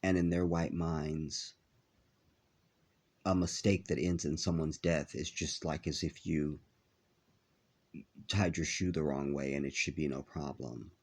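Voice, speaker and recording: A man talking to his viewers in a halting vlog, with a nasal, congested voice as he recovers from an illness. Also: a bass voice, fairly even intonation, husky. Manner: halting, many filler pauses. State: stuffy, congested after illness. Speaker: vlogger. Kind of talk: casual vlog talk to viewers.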